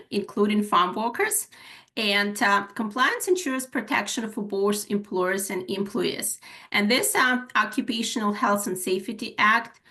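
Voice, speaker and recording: A woman's voice: mid-range voice, bright, forceful tone. A woman with a Ukrainian accent presenting a webinar as an HR manager, with many filler sounds as she goes through her slides. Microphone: video call.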